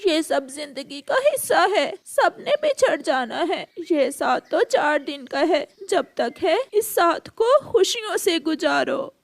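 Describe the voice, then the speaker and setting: A gentle, motherly cartoon crow acted by a woman talks slowly in a high voice. She is solemn and soothing, a consoling neighbour aunt, heard in a dry recording. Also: sing-song, gravelly, dry recording, exaggerated voice. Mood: philosophical.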